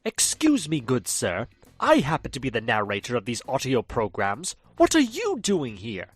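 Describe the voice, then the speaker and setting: A man's voice: medium pitch, huge pitch swings, moderate pace, breathy edge, no room sound, faint music behind. A man narrating an audio drama, a fussy storyteller who gets indignant when interrupted. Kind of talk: scripted narration.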